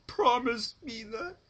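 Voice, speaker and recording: A man's voice: very high voice, a bit bright, slight background noise, voice pushed up, full character voice. A man voicing a weak, terrified female pony in a storybook reading, stammering and pleading through a strained, dry throat. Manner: halting, stammering, gasping.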